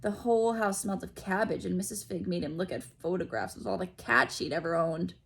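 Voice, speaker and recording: A woman's voice: fairly low pitch, a little fast, vocal fry. A woman reading a children's story aloud expressively, like a school principal, with explanatory asides.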